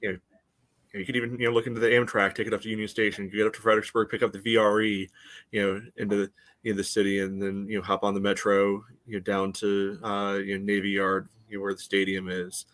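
A man with an American accent and a fairly low voice. He co-hosts a soccer podcast and analyses matches conversationally. His delivery wanders and halts, padded with verbal filler. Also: level tone, fast talker, fairly bright tone. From United States. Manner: rambling, hesitant, frequent "you know". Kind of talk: casual chat, match analysis.

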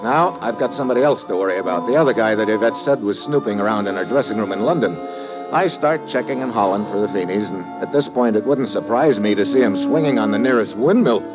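An actor playing a wisecracking, suspicious American government agent in a vintage radio detective drama, slangy and sardonic. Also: male voice, on the low side, animated, fast, close to an everyday voice. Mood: wry narrating. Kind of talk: 1950s radio detective drama, first-person narration and dialogue. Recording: dull vintage radio recording, music behind.

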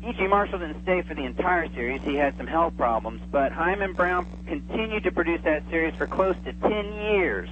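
A man's voice: on the high side, lively intonation, normal speed, hardly any pauses. A middle-aged man chatting casually and enthusiastically as a guest on a radio show. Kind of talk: casual radio interview chat. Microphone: telephone. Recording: narrow tinny phone line with hiss.

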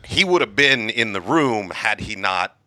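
A man hosting a podcast, chatting and telling a family story at a quick, lively clip. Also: lowish voice, no room sound. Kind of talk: casual chat.

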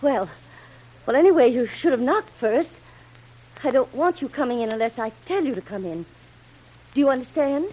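Brief: high-voiced captive heroine, nervous yet imperious, swooping melodramatic delivery, stammers, noisy vintage radio serial. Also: female voice, animated, moderate pace, pauses often, darkish tone. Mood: indignant. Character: frightened but commanding young woman held captive, suspicious. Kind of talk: 1930s radio acting. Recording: noisy old radio recording.

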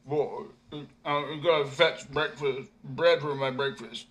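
A man voicing a hungry storybook man who stammers and hesitates, speaking slowly. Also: on the high side, fairly even intonation, fairly bright tone. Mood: sheepish.

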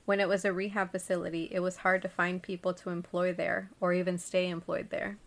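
A woman co-hosting a podcast, telling a story in relaxed, giggly chat. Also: lowish voice, brisk, vocal fry. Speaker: podcast co-host.

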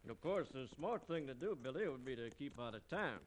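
A man's voice: mid-range voice, expressive, brisk, natural voice. Sly radio-western villain, male actor: mid-range, folksy, two-faced, vintage hissy sound. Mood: falsely friendly. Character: sly, scheming western outlaw, falsely friendly. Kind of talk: old-time radio western acting. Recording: noisy vintage radio recording.

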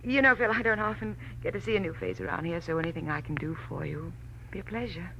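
A woman's voice: fairly low pitch, big pitch swings, fast, vocal fry, bright, forceful tone, dull audio, exaggerated voice. An actress playing a flirtatious, sly married woman in 1940s radio style, coy and teasing. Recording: noisy old radio recording.

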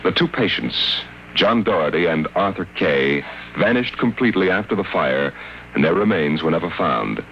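Deep grave radio narrator. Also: male voice, expressive, a little hiss or hum, rough recording. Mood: solemn. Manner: solemn, measured, portentous. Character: grave male narrator of eerie science fiction tales. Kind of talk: 1950s radio drama narration. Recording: old radio recording under loud music.

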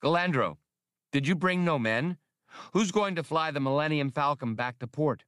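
A man's voice: on the high side, normal speed, a bit bright, clear, resonant tone, no echo, clean studio sound, voice pushed up, lightly acted. A man voicing a fussy, whiskered alien bureaucrat in an audiobook, with a lisp and formal, precise, wordy speech. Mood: alarmed, protesting. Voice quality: lisping.

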